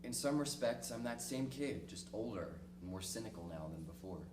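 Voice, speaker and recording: A man acting a lonely, cynical middle-aged diarist in a dramatic stage reading, speaking reflectively and ruefully with halting pauses. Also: on the low side, lively intonation, lightly acted. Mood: weary, wistful. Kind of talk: dramatic monologue in a one-act play reading. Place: small theater stage, recorded from the audience distance. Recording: distant, echoey stage recording with noisy hum.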